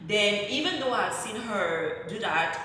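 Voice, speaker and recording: A woman's voice: mid-range voice, lively intonation, measured pace, pushed and bright. A woman from Cameroon, a French speaker, tells a personal story to viewers in a conversational way, acting out her own thoughts. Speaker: online content creator. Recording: very echoey room, distant mic, faint noise.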